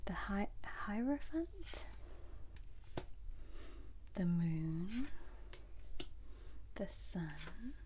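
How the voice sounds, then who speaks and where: A young woman whispers slowly and softly for ASMR inside a small caravan, rambling as she sorts cards. Her voice is a touch low and worn after a recent bad cough, with a mellow, dark tone and a faint hiss of noise behind it. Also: sing-song. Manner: soft, rambling whisper while handling cards. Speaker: ASMR creator.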